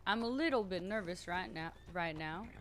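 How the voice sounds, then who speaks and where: A woman streamer chatting loudly and nervously to her viewers, thinking out loud and swearing freely. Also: on the low side, animated, normal speed, slight background noise. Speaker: streamer playing a roleplay character. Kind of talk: casual chat to stream viewers.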